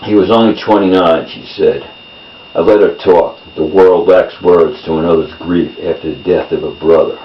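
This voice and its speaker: A man reading his own poems in a measured delivery, in short phrases. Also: low voice, fairly even intonation. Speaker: poet. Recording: roomy, distant mic, dull sound, faint noise.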